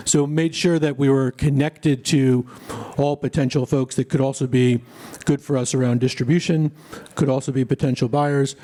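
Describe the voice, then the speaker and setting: A man's voice: mid-range voice, warm tone. A man speaking as a founder on a conference panel, telling his story steadily with a few fillers. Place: conference stage.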